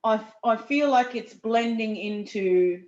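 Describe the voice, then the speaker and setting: A woman with an Australian accent softened by British, chatting candidly and informally as a podcast guest. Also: a little high, slow. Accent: Australian, slightly blended with British. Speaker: podcast guest, personal trainer. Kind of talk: casual podcast chat. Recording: echoey, slightly dull audio.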